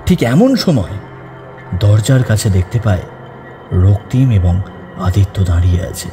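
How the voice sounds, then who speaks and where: A man's voice: lowish voice, big pitch swings, a little slow. A man narrating a scripted horror story as an audiobook narrator. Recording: long reverb over background music.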